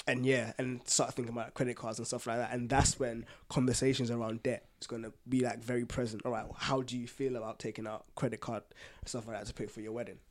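A man with a London accent and a medium-pitched voice chats as a podcast co-host. His delivery is informal and full of slang, like someone telling personal stories to friends. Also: darkish tone. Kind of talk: casual chat, personal anecdotes.

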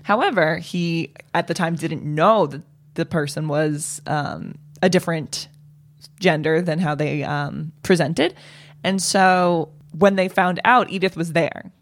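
Low-voiced woman co-hosting a comedy podcast. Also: a little slow. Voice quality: somewhat low voice. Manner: rambling, with many fillers and restarts. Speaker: podcast co-host. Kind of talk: casual comedy podcast chat.

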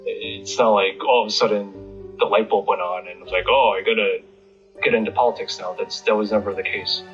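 An American man giving a reflective interview, halting as he searches for words. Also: on the low side, fairly even intonation, fairly bright tone. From United States. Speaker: interviewee, independent bookstore owner. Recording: slightly muffled, music behind.

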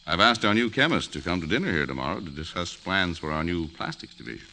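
A man's voice: sits low, lively intonation, fast, bright, forceful tone, heavily acted. An actor playing a genial, composed middle-aged father and businessman in a vintage radio drama, hearty and wry. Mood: wry, steady. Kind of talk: 1940s-50s radio drama acting. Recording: noisy old radio broadcast.

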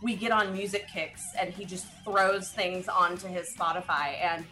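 A woman's voice: on the low side, fairly even intonation, normal speed, bright, forceful tone, faint music behind. A woman co-hosting an opera talk show, chatting in a playful, bubbly way. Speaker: co-host. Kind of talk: casual chat.

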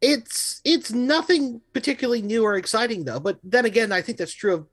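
A man's voice: extremely high pitch, animated, a little fast, fairly bright tone. A man co-hosting a podcast in a casual, analytical discussion. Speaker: podcast co-host.

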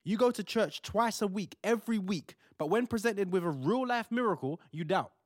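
An earnest young man played by an actor in an audio play. His voice is fairly high for a man, insistent in delivery, and very dry and close. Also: lively intonation, moderate pace, no room sound. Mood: frustrated. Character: earnest, frustrated young expectant father Joseph. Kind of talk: audio drama acting.

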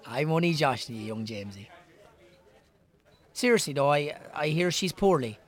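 A man's voice: fairly high pitch, animated, moderate pace. An actor in a radio drama playing a joking, bantering Irish barman in a pub. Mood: teasing. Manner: bantering, joshing. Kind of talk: naturalistic radio drama acting.

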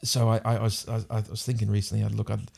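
A man's voice: on the low side, flat delivery, quick pace, creaky, a little mellow, husky. A man with a New Zealand accent, a podcast guest talking thoughtfully in casual conversation, often restarting and repeating words. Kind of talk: casual interview chat.

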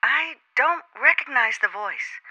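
A woman's voice: sits high, animated, measured pace, pushed and bright, exaggerated voice. An American actress in a 1950s radio drama playing a polite, hesitant young female writer heard through a telephone filter. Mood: surprised, polite. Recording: dull, band-limited telephone voice on vintage radio.

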